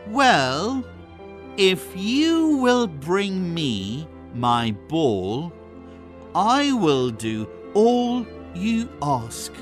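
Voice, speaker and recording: Spoiled young fairy-tale princess, performed by a storyteller, tearful and haughty, very slow, dramatic delivery. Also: medium pitch, sing-song, soft background music, pitched above the performer's own voice. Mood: agreeing.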